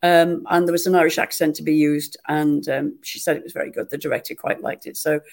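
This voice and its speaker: A woman, an actress chatting in an interview and telling lively, self-mocking stories. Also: low-pitched, a little fast, gravelly. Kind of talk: conversational interview.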